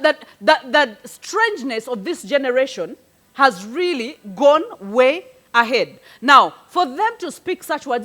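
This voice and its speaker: Woman, middle-aged, non-native English, very high voice; animated advice-giver who talks to a group in stories and questions. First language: a local language, not English. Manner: animated, storytelling with rhetorical questions. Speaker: speaker answering parenting questions. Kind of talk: conversational advice talk to a group.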